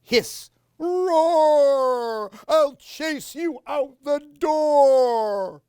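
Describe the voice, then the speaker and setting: A woman's voice: sits high, expressive, extremely slow, dark tone, no room sound, clean studio sound, pitched above the performer's own voice, exaggerated voice. A woman voicing silly pretend monsters that roar and threaten to gobble. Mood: growly. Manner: exaggerated roaring and gobbling.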